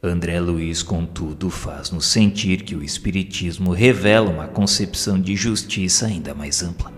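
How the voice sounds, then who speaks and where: A Brazilian man reading solemn scripted religious narration in a formal, measured, oratorical way. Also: sits low. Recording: long hall reverb, music underneath. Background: background music.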